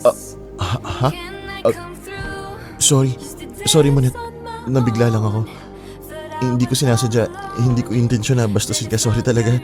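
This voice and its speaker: An actor playing an earnest, apologetic young man in love in a radio romance drama, with a Filipino accent and halting sincerity. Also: medium pitch, steady tone, over music, close to an everyday voice.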